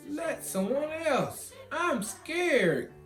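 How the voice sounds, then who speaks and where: A man's voice: very animated, extremely slow, a bit bright, heavily acted. A man voicing a scared, reluctant kindergarten boy in a storybook, whining and pleading. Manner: high, pleading. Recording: roomy echo, guitar music behind.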